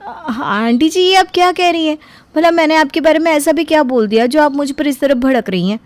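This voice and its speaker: A woman's voice: sits high, brisk, no echo, voice pushed up, lightly acted. A woman voicing a brash, self-righteous young daughter-in-law in a cartoon, arguing back defensively. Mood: indignant. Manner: butts in, argues back, defensive. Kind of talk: cartoon voice acting.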